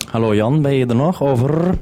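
A man's voice: mid-range voice, dark tone. Een man die als radiopresentator onvoorbereid en wat zoekend een radioverbinding leidt, informeel van toon. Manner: informal, hesitant, uses radio procedure. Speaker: radio presenter running the link. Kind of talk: unscripted radio hosting.

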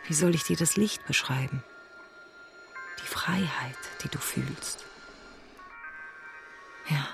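A woman's voice: deep voice, slow pace, gravelly, long pauses, music in the background, full character voice. An actress playing a tender, wry woman revolutionary in a German radio drama, speaking lyrically and intimately. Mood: rapturous, hushed. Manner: intimate, lyrical, often sinking to a hush. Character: visionary woman revolutionary, tender, wry, weary yet rapturous.

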